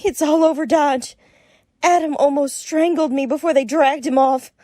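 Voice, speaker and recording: A woman's voice: high voice, normal speed, warm tone, no room sound, studio quality, natural voice. A woman playing a distressed, urgent frontier woman in a western radio drama. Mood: anxious, urgent.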